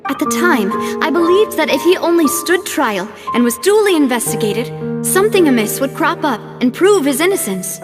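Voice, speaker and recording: An actress voicing a poised, earnest young woman who leads an organization in a game cutscene, with a refined, measured, sincere manner. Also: high voice, sing-song, over music. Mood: wistful, grave. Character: poised young lady leading a crime-fighting organization, earnest and polite. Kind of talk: video game cutscene voice acting.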